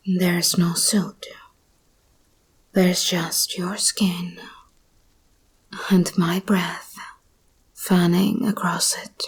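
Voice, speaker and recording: A woman playing an escaped hybrid monster girl, possessive yet tender, in an intimate, breathy whispered ASMR roleplay. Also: on the low side, full character voice, low-quality recording. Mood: hungry, intimate. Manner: slow, intimate, intense.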